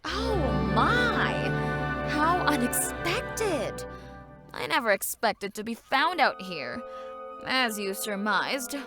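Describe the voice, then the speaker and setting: A woman's voice: on the high side, very animated, slow pace. A woman playing a young noblewoman swordfighter in an audio drama, theatrical, with a sarcastic edge and a fierce temper. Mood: sweet sarcasm. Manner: sugary, mock-polite, theatrical. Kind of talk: audio drama character acting. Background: loud music.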